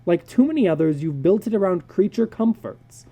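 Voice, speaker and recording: Blunt, dryly amused male psychologist for a storybook, acted by a narrator; raised pitch, lively swings, very soft-edged dark tone. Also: moderate pace, slightly rough. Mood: emphatic, admonishing. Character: David McLean, brisk blunt worldly male psychologist.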